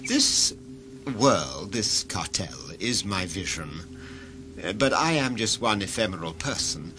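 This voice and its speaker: A man's voice: deep voice, lively intonation, slow pace, background noise. A man playing a self-assured, formal and pompous director of a future regime in an audio drama. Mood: proud, persuasive. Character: self-assured titled male director of a future ruling cartel.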